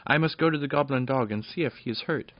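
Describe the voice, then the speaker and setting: A man lifting his voice into a small fairy princess from a storybook, indignant and earnest. The voice sits higher and louder than his narration, recorded dull and dry. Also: quick pace, no room sound, natural voice. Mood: pleading. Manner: indignant, sharp, pleading. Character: cross little fairy princess girl.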